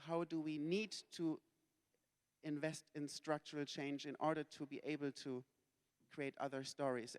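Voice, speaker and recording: A man runs a panel discussion, speaking loudly in a fairly high voice. He stops and restarts his sentences as he goes. His words ring out in a reverberant conference hall. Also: smooth. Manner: loud, halting, restarts phrases. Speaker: panel moderator.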